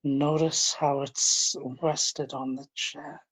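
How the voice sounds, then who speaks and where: A man leading a guided relaxation, speaking in a gentle, informal and halting way, often repeating his phrases. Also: a little high, flat delivery, poor audio. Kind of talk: guided relaxation, meditation instruction.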